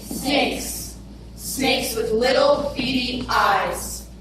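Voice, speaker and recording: Young woman, high pitch, declamatory poetry recital, heavy echo, noisy room. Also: drawn-out words, far from the mic. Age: teenager or young adult. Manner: declamatory, emphatic. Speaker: readers theater performer. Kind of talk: dramatic spoken-word poetry recital. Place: large wooden hall with stage. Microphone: far camera, no visible mic. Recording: very echoey, noisy hall from afar.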